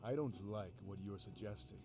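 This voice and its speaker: Low-voiced wary fantasy fighter played by a man. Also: a little fast, faint music behind, natural voice. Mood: disapproving. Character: serious, wary male fantasy fighter, cautious and protective. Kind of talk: visual novel character acting. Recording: loud background noise and ambience.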